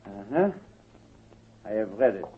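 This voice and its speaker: A man's voice: fairly low pitch, big pitch swings, a little slow, phone-quality sound, slight background noise. A suave thief, silky and coldly menacing, swinging his voice up and down. The sound is a thin old radio broadcast. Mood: gracious. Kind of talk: 1940s radio acting.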